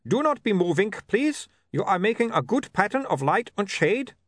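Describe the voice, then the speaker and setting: Man putting on a high-pitched, courteous vampire photographer. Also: very animated, moderate pace, fairly bright tone, dry recording, full character voice. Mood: courteous, eager. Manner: formal, foreign-sounding phrasing.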